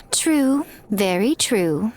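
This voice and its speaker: A woman's voice: a little high, very animated, very slow, slight background noise, heavily acted. A woman voicing a sly, teasing fox shrine maiden in an English video game, with a coy, knowing drawl. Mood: smug, knowing.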